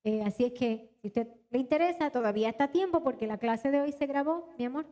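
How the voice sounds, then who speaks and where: A woman pastor from Puerto Rico, her Spanish accent a blend of several Latin American ones, preaches to her congregation in a church. Her voice sits high and she talks to the crowd in an animated, direct way. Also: fast talker. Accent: mixed Latin American Spanish, little Puerto Rican. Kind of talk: live church sermon and announcements. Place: church hall.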